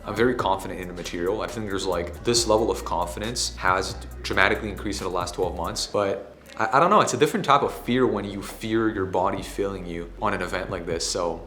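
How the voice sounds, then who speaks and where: Brief: hoarse, low-voiced man, casual vlogger, echoey room. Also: a little fast, soft background music. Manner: casual, swears, talks softly to spare his voice. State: hoarse, recovering from voice loss. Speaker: ads agency owner hosting a workshop. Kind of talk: casual vlog talk to camera.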